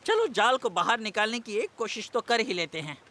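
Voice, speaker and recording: Practical young fisherman, sharp bright tone, animated, children's cartoon dub. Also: sits high, moderate pace, full character voice.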